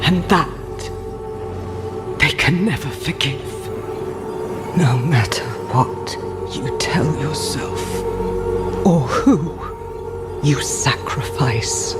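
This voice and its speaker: A man playing a solemn, unforgiving otherworldly being in a game cutscene, making measured pronouncements. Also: on the high side, loud music behind the voice. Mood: grave reproach. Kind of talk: dramatic game cutscene acting.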